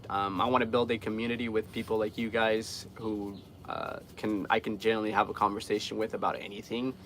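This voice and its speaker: Gravelly, earnest man chatting casually. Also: mid-range voice, fairly bright tone, slight background noise. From Guatemala. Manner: earnest, rambling, informal. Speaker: live streamer. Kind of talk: casual live chat with viewers.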